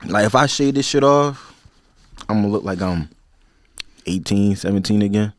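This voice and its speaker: A man in his twenties with a Philadelphia accent, a podcast guest talking in a relaxed, slang-heavy, rambling way. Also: lowish voice, moderate pace, dry recording, studio quality. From Philadelphia, USA. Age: 20s. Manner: laid-back, slang-heavy, rambling with many fillers. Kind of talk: casual interview chat.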